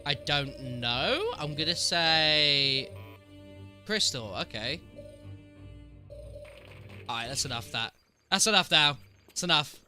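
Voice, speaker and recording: Man, game streamer, sniffly with a cold, very bright sharp tone, slightly high voice, chatty. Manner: chatty, answers trivia aloud, jokes with chat. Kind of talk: casual live-stream commentary.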